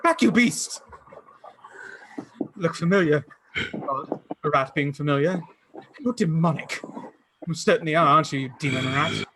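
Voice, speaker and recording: A man acting in a radio play as a bookish, excitable young student with a theatrical, formal delivery. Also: on the high side, measured pace, pauses often, a little hiss or hum, full character voice, poor audio. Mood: alarmed, defiant.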